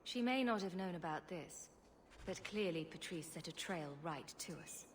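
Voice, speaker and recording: Casting: suspicious fantasy-game heroine, played by a woman, slightly low, direct and controlled, in a reverberant space. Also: expressive, normal speed, a little hiss or hum, lightly acted. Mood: guarded. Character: tough, defiant adventurer heroine, blunt and suspicious. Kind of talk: video game cutscene acting.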